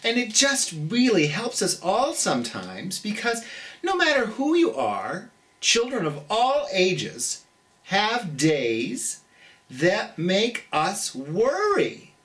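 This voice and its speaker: An American children's storytime host with a medium-pitched voice, reading and chatting at a slow pace. The delivery is gentle and theatrical, with pitch that swings widely from phrase to phrase. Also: huge pitch swings, recorded from a distance. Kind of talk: children's picture-book read-aloud with chatty asides.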